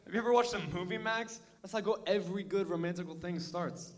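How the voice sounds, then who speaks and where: A man reading the part of a pushy, excitable jock who acts as a wingman, in a staged reading of a musical. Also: high-pitched, fast, close to an everyday voice. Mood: pumped. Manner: boisterous, bro slang.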